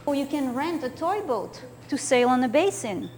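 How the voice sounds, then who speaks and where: A woman in her sixties from France, speaking in a high voice as she walks young listeners through a slideshow, pointing and asking if they can see. A faint hum sits behind her. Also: big pitch swings, moderate pace. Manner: gentle, explaining and pointing at pictures. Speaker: children's author. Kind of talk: slideshow talk for children.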